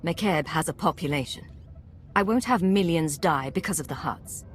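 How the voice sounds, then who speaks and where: A woman playing a composed, resolute Imperial agent commander in a video game, speaking in a measured, firm manner. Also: low-pitched, faint music behind, lightly acted.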